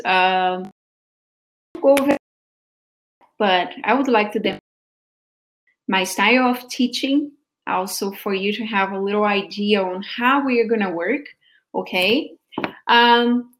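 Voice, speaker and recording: A woman's voice: medium pitch, fairly bright tone, low-quality recording. A woman from Brazil with a Brazilian Portuguese accent, giving a patient sample Portuguese lesson and checking in with her students often. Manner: patient, explains slowly, checks in with "okay?". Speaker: Portuguese teacher.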